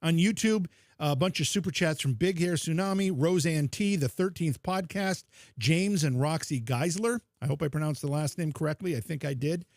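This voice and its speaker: Male podcast co-host, slightly high voice, chatty and teasing, dry close-mic sound. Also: normal speed, darkish tone, dry recording. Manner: chatty, teasing, reads out supporter thank-yous. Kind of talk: casual livestream chat.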